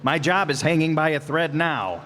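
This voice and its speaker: Brief: a man doing a gruff, grumbling husband for a live stage radio comedy, hall echo. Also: fairly high pitch, lively intonation, normal speed, fairly bright tone. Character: grumbling henpecked husband, bowling ball salesman. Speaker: amateur radio actor. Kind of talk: old-time radio comedy acting.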